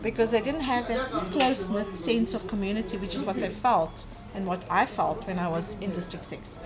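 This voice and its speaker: A middle-aged South African woman from Cape Town, a guest speaking earnestly and thoughtfully, with pauses and fillers. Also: medium pitch, over loud music. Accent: South African English. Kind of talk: conversational interview.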